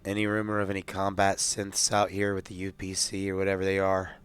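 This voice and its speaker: A man playing a gruff, dismissive marine gunnery sergeant in a tabletop game, grumbling bluntly. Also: low voice, completely flat delivery, a little fast, fairly bright tone.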